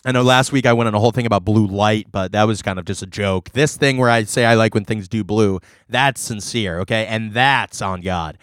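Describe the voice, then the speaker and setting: A man's voice: on the low side, brisk, few pauses, fairly bright tone, dry recording, studio quality. A man co-hosting a casual beer review podcast, talking in a laid-back, rambling way with casual swearing. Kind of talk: casual banter.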